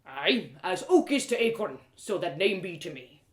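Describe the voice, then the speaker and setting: A woman voicing a gruff, stocky old male blacksmith with a rustic dialect and blustering exclamations in a storybook reading. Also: fairly low pitch, very animated, normal speed, reverberant. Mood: gruff, proud. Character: gruff, stocky, red-bearded old male blacksmith, scolding yet genial. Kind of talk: storybook character voice.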